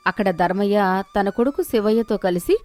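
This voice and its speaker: A woman's voice: mid-range voice, moderate pace, darkish tone, faint music behind. A woman narrating a scripted moral story as its storyteller.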